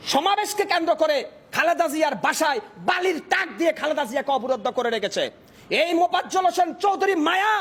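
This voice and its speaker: A Bangladeshi man delivering a fiery political speech in an impassioned, declamatory manner, often shouting and using repetitive rhetorical phrasing. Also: very high-pitched. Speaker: political speaker, self-described hawker.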